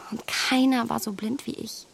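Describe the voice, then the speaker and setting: An actress playing a proud, candid young gentlewoman in a radio drama, polite and articulate as she reproaches herself. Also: fairly high pitch, steady tone, normal speed, vocal fry, husky, heavily acted, low-quality recording. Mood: earnest, confessional. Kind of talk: German radio drama acting of a classic novel.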